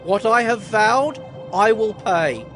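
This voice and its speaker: Casual: man doing jonah, really high voice, slow and pleading, kinda desperate. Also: lively intonation, fairly bright tone, soft background music, full character voice. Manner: slow, earnest pleading prayer. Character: Jonah, distressed angry male prophet. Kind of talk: audio drama acting.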